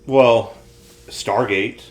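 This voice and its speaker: A man's voice: lowish voice, very slow, poor audio. A man co-hosting a podcast, chatting casually and giving emphatic opinions about pop culture. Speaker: podcast co-host.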